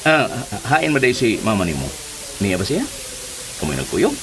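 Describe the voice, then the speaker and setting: An actor playing a leering, flustered older Filipino uncle in a radio drama, stammering, hemming and exclaiming. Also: male voice, lowish voice, huge pitch swings, normal speed, fairly bright tone, a little hiss or hum, heavily acted, poor audio. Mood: flustered, eager.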